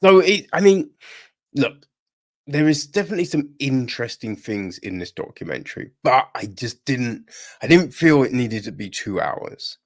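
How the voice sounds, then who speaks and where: A man's voice: mid-range voice, big pitch swings, moderate pace. A man giving a casual podcast film review, rambling and opinionated, with constant tag questions. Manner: rambling, frequent tag questions like "right?" and "you know"; opinionated and irritated.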